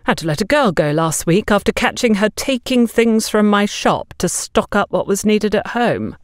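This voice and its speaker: A woman voicing a stern, frank middle-aged businesswoman in an audiobook, brisk and no-nonsense. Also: medium pitch, very animated, dry recording, clean studio sound, voice pushed up, lightly acted. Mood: stern, skeptical.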